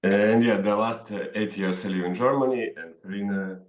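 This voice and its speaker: A man's voice: lowish voice, normal speed. A man from Ukraine, an acrobat, adding short remarks on a video call. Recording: echoey room, off the mic.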